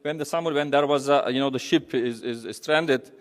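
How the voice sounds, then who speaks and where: Turkish-accented man speaking in an echoey hall. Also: medium pitch. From Turkey. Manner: halting, rambling, repeats phrases. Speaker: humanitarian charity representative speaking. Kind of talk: informal public speech. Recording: echoey hall with long reverb.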